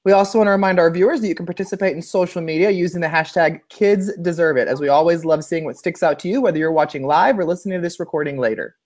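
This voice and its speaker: American male podcast co-host, very quick talker, enthusiastic and casual. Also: a little high, darkish tone. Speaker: podcast co-host, elementary school principal.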